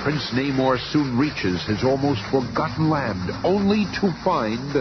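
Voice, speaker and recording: Theatrical male radio narrator, widely swinging pitch. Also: lively intonation, unhurried. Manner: grandiose, theatrical. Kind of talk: dramatic scripted radio serial narration. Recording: muffled, noisy old radio recording.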